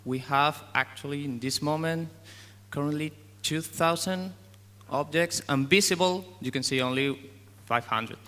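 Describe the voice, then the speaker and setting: A man's voice: on the high side, pushed and bright, big-room sound. Man presenting a demo. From Spain. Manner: non-native phrasing, halting. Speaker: game developer presenting. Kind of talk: conference tech presentation.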